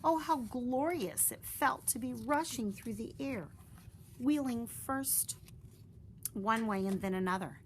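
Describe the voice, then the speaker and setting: Woman narrator for a children's read-aloud; warm storytelling, faint room noise. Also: a little high, slow pace. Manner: expressive, gentle storytelling.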